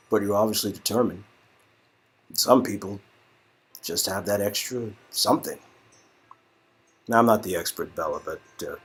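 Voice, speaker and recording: A man reading the part of a wry, jaded but encouraging young screenwriter in game dialogue, with a husky voice. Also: on the low side. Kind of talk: dramatic reading of visual novel game dialogue.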